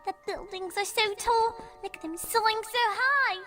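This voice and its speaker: A woman's voice: very high-pitched, normal speed. A woman playing an eager, bubbly young filly in a dramatised pony fan story. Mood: awestruck. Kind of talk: dramatised fan fiction audio. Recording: music behind the voice.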